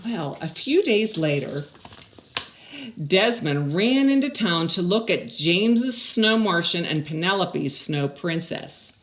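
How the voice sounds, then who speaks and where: Older woman, children's story time host, unhurried, slightly low voice, lively pitch swings. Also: a little hiss or hum. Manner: expressive, asks young listeners questions. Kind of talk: picture-book read-aloud and chatting to children. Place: small indoor room with blinds.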